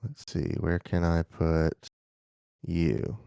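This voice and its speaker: A man's voice: sits low, monotone, slow pace, gravelly, dark tone, clear, resonant tone, no echo, studio quality. A man hosting a live stream, chatting casually and muttering to himself while he sets up. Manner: mumbling asides while setting up. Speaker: streamer host.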